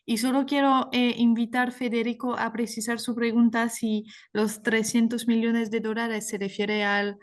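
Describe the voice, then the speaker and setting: A woman's voice: on the high side, flat delivery, normal speed, hardly any pauses. A woman moderating a panel discussion, friendly and a bit hesitant, with many fillers.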